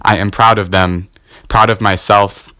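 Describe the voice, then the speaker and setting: A man's voice: on the low side, fairly even intonation, unhurried, pushed and bright, smooth, no echo. A young man, a student poet, performing a scripted spoken word piece. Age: young adult.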